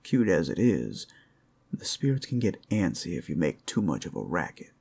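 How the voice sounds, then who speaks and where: A man playing a flirtatious, rowdy but friendly werewolf in an ASMR roleplay, with a gravelly, husky voice and a folksy, teasing drawl. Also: deep voice, sing-song, moderate pace, darkish tone, full character voice. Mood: coaxing, playful.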